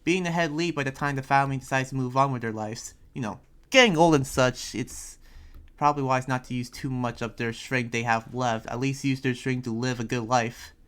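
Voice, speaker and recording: A man's voice: mid-range voice, a little fast, a bit bright, smooth, lightly acted. Man playing a rambling, earnest young town preacher. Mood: defensive, earnest. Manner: rambling, explanatory, hesitant phrasing. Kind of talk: improvised anime Minecraft roleplay. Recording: background music behind the voice.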